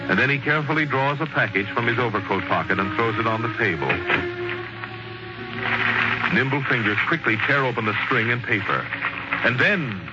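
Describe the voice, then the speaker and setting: A man narrating a 1940s radio drama in a theatrical, measured storytelling manner, on a muffled vintage broadcast recording. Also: medium pitch, lively intonation. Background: prominent music.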